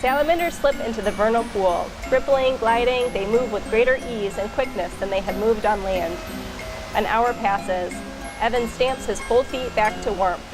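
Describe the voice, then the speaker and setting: A woman reading a children's picture book aloud in an expressive storytelling style. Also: a little high, normal speed, over music, poor audio.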